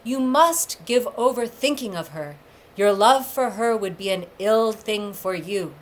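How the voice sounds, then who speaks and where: A woman's voice: mid-range voice, expressive, measured pace, lightly acted. A woman reading the part of a wise old father god, solemn and admonishing, in archaic storybook language. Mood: grave warning.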